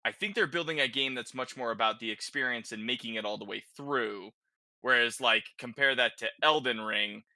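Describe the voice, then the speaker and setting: A man's voice: mid-range voice, normal speed, bright, forceful tone, no echo, studio quality. A man hosting a podcast, chatting casually about video games in an informal way, as if thinking aloud. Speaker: podcast host.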